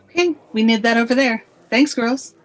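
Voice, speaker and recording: An actress playing a busy, distracted theatre festival director in a radio play, with a brisk manner. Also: female voice, on the high side, faint music behind, natural voice.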